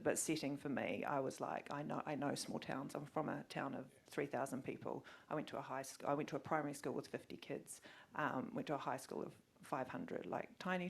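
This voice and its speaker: A woman's voice: deep voice, creaky, slightly rough. A woman with a New Zealand accent, speaking as an author on a panel, chatty and casual. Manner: chatty, casual, many fillers. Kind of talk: panel discussion.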